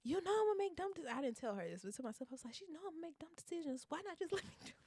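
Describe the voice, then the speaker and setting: A woman's voice: sits high, very animated, warm tone, a little hiss or hum. Chatty woman in her twenties. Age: 20s. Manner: chatty, storytelling, many fillers and quick laughs. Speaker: podcast co-host. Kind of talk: casual chat.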